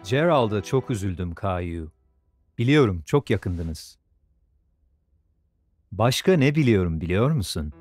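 A tender young father speaks in a soft, somewhat low voice. He is thoughtful and comforting. Gentle music runs behind the children's cartoon dub. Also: sing-song, a little slow, long pauses. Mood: tender, consoling. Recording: studio dub over background music.

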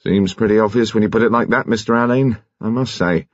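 A man's voice: on the low side, a little fast, natural voice. A man playing a plain-spoken, respectful, sedate policeman in an audiobook. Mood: stolid. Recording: muffled, echoey room.

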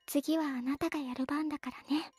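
A voice actress playing a gentle, patient woman teaching ear cleaning, whispering softly in a Japanese ASMR roleplay. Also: sits high, steady tone, a little fast, no echo, soft background music, natural voice. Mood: gentle, instructive. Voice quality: soft breathy whisper.

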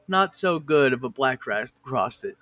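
A man's voice: medium pitch, animated, a little slow, a bit bright, no echo. A man narrating a comic fan parody of an educational cartoon, breaking off to swear and argue with a director.

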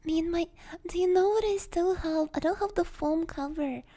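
A soft-spoken, playful woman chatting as an ASMR streamer, close on a stereo microphone and sometimes slipping into whispers. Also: extremely high pitch, moderate pace. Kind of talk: ASMR livestream chat, drifting into whispers. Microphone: close binaural stereo microphone.

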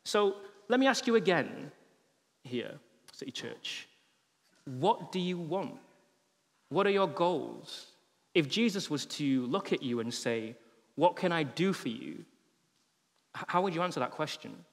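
A man in his thirties gives a sermon from a stage, his headset mic catching a chatty, humorous delivery. His voice sits a little on the high side for a man. The large church hall carries a long, lingering reverb behind every sentence. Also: a little slow, creaky, pauses often. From England. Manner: conversational and humorous, with animated gestures. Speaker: pastor.

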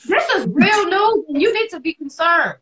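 A woman in her thirties co-hosting a casual live video chat from home, animated and quick to laugh, on a phone or laptop mic. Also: extremely high pitch, crowd noise. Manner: animated, laughs often, bright delivery. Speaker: co-host. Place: indoors at home. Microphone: phone or laptop camera on video call.

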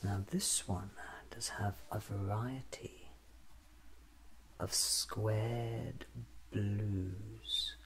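A man in his thirties doing a soft-spoken ASMR roleplay as a fussy, eccentric doctor musing to himself, often slipping into a whisper. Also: lowish voice, steady tone, extremely slow. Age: 30s. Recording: clearly audible background noise.

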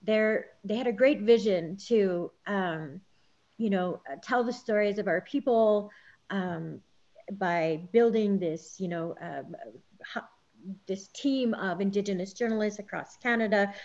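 A woman journalist on a panel over a video call, reflective and halting, with many fillers. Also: medium pitch, a little mellow, dull audio. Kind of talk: panel discussion.

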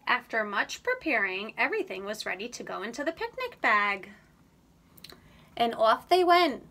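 A woman in her thirties reading a children's picture book aloud, slow and expressive, recorded on a webcam in a small room. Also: a little high, a little hiss or hum. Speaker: read-aloud reader. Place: indoor room, under a sloped ceiling.

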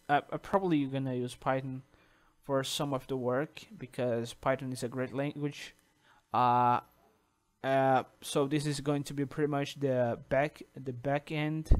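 A man whose first language is Portuguese, talking through a coding tutorial over a screen recording, halting with restarts and checking in with "okay?". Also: mid-range voice, smooth. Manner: halting, many restarts and "uh", tags sentences with "okay?". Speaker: programming tutor.

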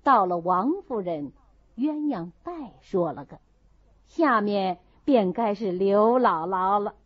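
A woman narrating a classic novel as an expressive audiobook reading. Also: mid-range voice, slow pace, breathy edge, dry recording. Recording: dull, muffled audio.

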